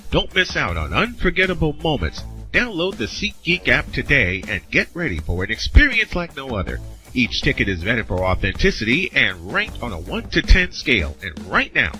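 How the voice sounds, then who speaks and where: Bright, lively male ad read. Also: mid-range voice. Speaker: host reading a sponsor ad. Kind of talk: scripted promotional read. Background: light music.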